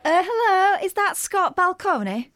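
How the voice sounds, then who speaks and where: A woman's voice: extremely high pitch, big pitch swings, unhurried, a bit bright, dry recording, pitched above the performer's own voice, full character voice. A woman voicing a chatty, muddled middle-aged Yorkshire tourist board woman on a prank call, rambling and blunt. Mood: puzzled. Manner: rambling, nosy, confused and blunt.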